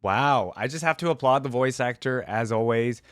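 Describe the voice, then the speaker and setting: A man's voice: medium pitch, normal speed, a bit bright, no echo, clean studio sound. A man hosting a reaction video, commenting casually off the cuff and thinking aloud in informal speech.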